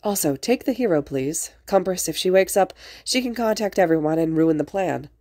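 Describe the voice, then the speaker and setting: A woman's voice: fairly low pitch, expressive, normal speed, warm tone, no room sound, studio quality. A woman voicing a composed, practical villain in a story, businesslike with a teasing streak. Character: composed, practical villain playing along with boy.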